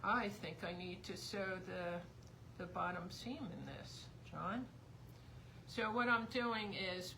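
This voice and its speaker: A woman's voice: medium pitch, bright, forceful tone. Chatty woman losing her voice. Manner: chatty, rambling, thinking aloud. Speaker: sewing instructor. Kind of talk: live sewing demonstration. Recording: noisy background.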